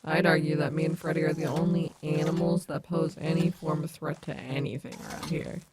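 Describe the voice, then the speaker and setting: A woman's voice: low-pitched, little pitch movement, a little fast, very noisy, lightly acted. A woman playing a cocky but caring animatronic wolf rock star in an ASMR audio roleplay, teasing and affectionate. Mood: alert, reassuring.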